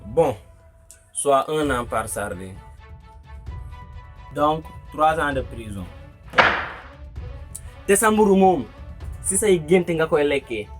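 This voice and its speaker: A man's voice: a little high, expressive, slow, long pauses, soft background music. A man playing a stern, merciless cartoon judge with a scolding, dismissive manner. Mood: stern, scornful. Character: stern merciless male judge handing out absurd sentences. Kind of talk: comic cartoon courtroom dialogue in Wolof and French.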